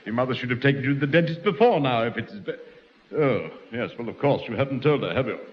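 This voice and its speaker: A man's voice: medium pitch, expressive, fast talker, lightly acted. A man playing a pompous, fussy middle-aged choirmaster in a 1960s radio sitcom, with a repeated pet phrase. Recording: dull hissy old radio recording with reverb.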